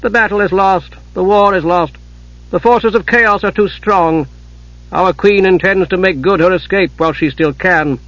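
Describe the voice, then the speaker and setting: Fantasy audiobook villainess, performed by a man: cold warrior mother, raised pitch, sneering, commanding, emphatic, dry studio sound. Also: normal speed, fairly bright tone, no echo, muffled recording. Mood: urgent, commanding. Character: cold, imperious warrior mother, scornful and domineering ghostly knight.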